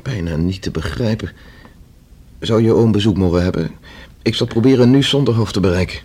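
An actor playing a solicitous, serious fiancé in a radio play, courteous and questioning. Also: male voice, lowish voice, a little fast, a little mellow, slight background noise, lightly acted. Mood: concerned. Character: Robert, solicitous engineer fiancé, a serious man.

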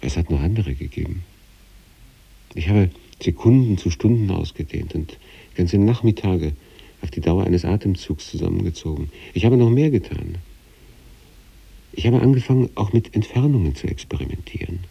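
A man acting an obsessive sanatorium patient in a literary radio monologue, reading out letters to his wife with measured, introspective intensity and a husky, gravelly voice. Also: expressive, dry recording, recorded from a distance, muffled recording, heavily acted. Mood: fervent, secretive. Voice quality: husky, gravelly, dark low voice.

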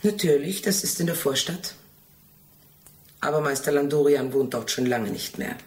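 An actress playing a stern, rule-bound archive gatekeeper in a radio play, formal and measured. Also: female voice, low voice, darkish tone, lightly acted.

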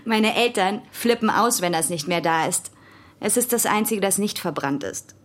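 An actress plays a sardonic teenage girl in a radio drama. Her voice sits at a medium pitch, and she speaks in a dry, blunt, challenging way with natural delivery. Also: moderate pace, close to an everyday voice. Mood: annoyed.

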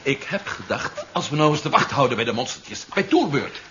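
A man's voice: medium pitch, very animated, fairly quick, lightly acted. An actor playing a wary, practical male space adventurer in a 1970s radio play, speaking earnestly. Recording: dull, noisy vintage radio recording.

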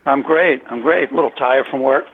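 A man chatting casually as a guest over the phone in an easygoing, halting way. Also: mid-range voice, a little hiss or hum. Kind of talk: casual chat. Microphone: telephone. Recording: tinny, muffled phone line.

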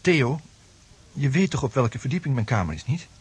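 A man's voice: mid-range voice, very animated, normal speed, husky. An actor in a radio drama playing a troubled, hesitant, apologetic man, on a noisy recording. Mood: anxious, insistent. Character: troubled, anxious man, ashamed and unsure of himself.

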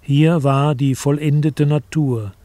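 A man narrating a literary radio drama in a composed, deliberate storytelling manner. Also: on the high side, steady tone, mellow, no room sound. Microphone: close studio microphone.

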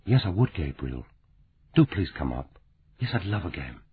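A man voicing a grieving, bitter young woman of twenty-nine, speaking softly and quietly. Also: sits low, very animated, normal speed, husky, exaggerated voice. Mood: cheerful, strained. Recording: tinny phone-like sound.

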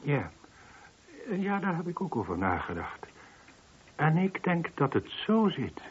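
A man's voice: a little high, very animated, unhurried, a little hiss or hum. An actor playing a weary, defensive man nearing sixty in a Dutch radio play, explaining himself hesitantly. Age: late 50s. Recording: muffled, band-limited old radio recording.

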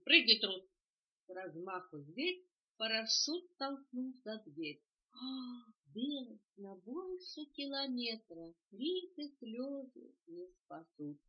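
A woman's voice: on the high side, very animated, takes it slowly, lo-fi sound. An older woman reading a children's verse tale aloud with theatrical, emphatic phrasing, on a home cassette recording. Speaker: storyteller reading to a grandchild.

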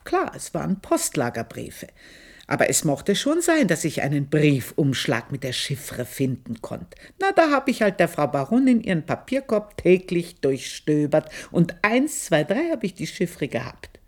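An Austrian actress playing a proud, jealous village-born maidservant, telling her story in a confiding, earthy radio monologue with drawn-out emphasis. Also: deep voice, big pitch swings, darkish tone, exaggerated voice. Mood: sly, defiant. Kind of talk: dramatic first-person radio monologue, literary storytelling.

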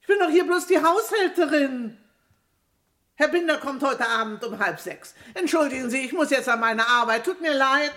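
High, skittish, evasive housekeeper. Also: female voice, expressive, moderate pace, a bit bright. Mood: flustered, alarmed. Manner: hesitant, deflecting, flustered. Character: evasive, nervous housekeeper guarding her employer's house. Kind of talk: German radio crime drama acting.

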